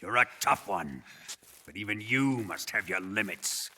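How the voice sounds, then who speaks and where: An actor playing a sadistic military interrogator in a video game, with a menacing, mocking and deliberate delivery. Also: male voice, lowish voice, lively intonation, exaggerated voice. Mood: sadistic relish. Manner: menacing, mocking, slow and deliberate, erupting into shouts. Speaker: villain interrogator. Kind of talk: dramatic video game cutscene acting.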